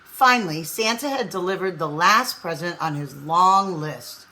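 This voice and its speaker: A woman's voice: fairly low pitch, measured pace, sharp tone. A woman reading a children's story aloud as narrator. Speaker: storybook reader.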